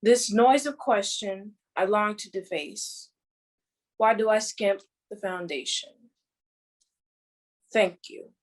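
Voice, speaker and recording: A woman's voice: mid-range voice, slow pace, pauses often. A young woman reading her own poem in a formal recital. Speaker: poet reading own work.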